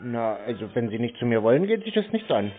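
A man talking casually on the phone, giving curt, stubborn, deadpan replies. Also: mid-range voice, fast talker. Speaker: prank call recipient baiting scam callers. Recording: muffled, band-limited phone call audio.

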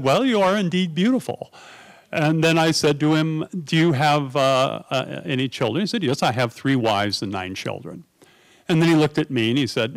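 A middle-aged man from New York, speaking at a conference panel table into a stand mic, telling anecdotes in a lively, conversational way. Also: medium pitch. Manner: animated anecdotal, acts out quoted voices. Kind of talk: conversational storytelling.

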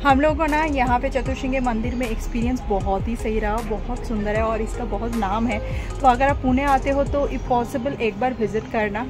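Chatty high-voiced young woman vlogger. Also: steady tone, normal speed. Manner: chatty, addresses viewers directly, mixes in English phrases. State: out of breath after climbing stairs. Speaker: travel vlogger. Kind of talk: casual travel vlog. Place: outdoors at a hilltop temple, later an indoor mall food court. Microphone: phone held at arm's length. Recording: background music under the voice.

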